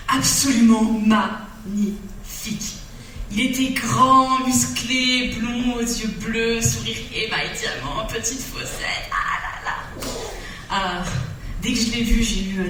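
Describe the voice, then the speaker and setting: A woman's voice: on the high side, a bit bright, distant mic, a little hiss or hum. A woman telling a comic love story on stage, theatrical and breaking into song. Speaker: stage performer. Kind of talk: comic storytelling monologue with songs. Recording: echoey hall recorded from afar.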